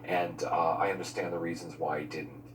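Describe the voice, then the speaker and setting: A man with a deep voice reminisces informally and with feeling about film work. The recording is roomy and a little distant from the microphone, with clear background hum. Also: level tone, moderate pace. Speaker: film crew member. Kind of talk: informal behind-the-scenes reminiscence. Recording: noisy, echoey, distant mic with hum.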